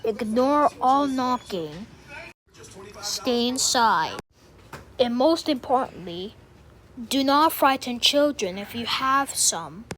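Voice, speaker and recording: A female announcer delivers a scripted emergency alert in a high-pitched voice. Her reading is extremely slow and deliberate, with formal, instructional phrasing throughout. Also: expressive, a bit bright, a little hiss or hum, low-quality recording.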